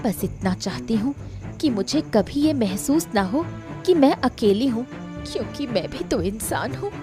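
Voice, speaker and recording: A woman voicing a long-suffering elder daughter-in-law in an animated story, wounded, resentful and plaintive. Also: on the high side, a little slow, music in the background. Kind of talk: melodramatic animated story acting.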